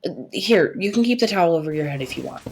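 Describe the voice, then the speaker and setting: A young woman playing a caring, protective best friend secretly in love, in soft, intimate roleplay audio, with a husky, breathy voice and a teasing, reassuring way of talking. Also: lowish voice, expressive, fast talker. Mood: gentle concern. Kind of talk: soft-spoken roleplay audio acting addressed to listener.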